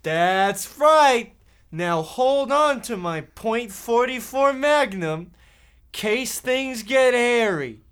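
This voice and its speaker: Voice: medium pitch, lively intonation, very slow, fairly bright tone, smooth. An actor playing a scheming, trigger-happy Depression-era boy with folksy, melodramatic delivery in a comic radio play. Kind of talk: comic parody radio drama.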